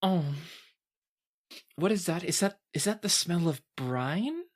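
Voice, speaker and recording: A woman's voice: fairly low pitch, expressive, takes it slowly, voice pushed up. A woman voicing a haughty, mocking noblewoman in a tabletop game, with a condescending, mock-polite drawl. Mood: mocking, disdainful. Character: haughty, mocking noblewoman, sneering and easily enraged.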